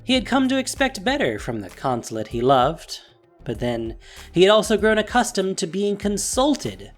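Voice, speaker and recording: A man's voice: sits high, big pitch swings, normal speed. A man narrating a scripted audio drama story with theatrical phrasing and emphatic stresses. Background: music.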